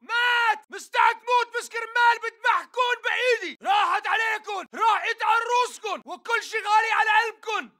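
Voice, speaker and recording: An aggressive militant in a shooter game, yelling at a very high pitch. His Levantine Arabic comes in urgent, clipped battle barks with a hard, bright edge. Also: a little fast, few pauses. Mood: defiant, menacing. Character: fierce male militant fighter, aggressive and defiant. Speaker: enemy soldier voice in a shooter game. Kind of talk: combat battle chatter, shouted barks.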